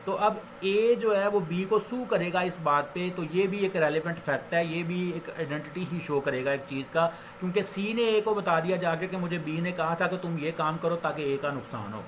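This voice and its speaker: Pakistani guy doing a casual law lecture, voice a bit high, drops in english legal phrases, some noise behind him. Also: low-quality recording. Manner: explanatory, switches into English legal phrases, reading English slowly and haltingly. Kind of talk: informal lecture explaining law with examples. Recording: noticeable background noise.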